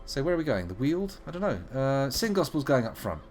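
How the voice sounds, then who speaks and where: Playful man, lively voice. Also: medium pitch, fairly quick, faint music behind. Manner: playful, joking, muttering asides. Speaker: streamer playing a video game. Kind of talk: live game commentary.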